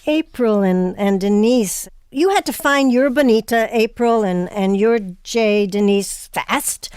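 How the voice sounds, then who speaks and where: A woman hosting an interview, putting prepared questions in a measured, polished way. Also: medium pitch, a little mellow, smooth, dry recording, clean studio sound. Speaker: interview host.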